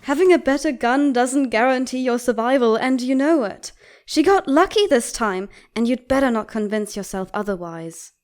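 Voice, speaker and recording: A woman acts out a female bird doctor with a somewhat high voice. She is outspoken and protective, arguing insistently in articulate, reproachful phrases. The delivery is dramatic comic fandub acting. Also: expressive, moderate pace, darkish tone, close to an everyday voice. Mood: urgent warning.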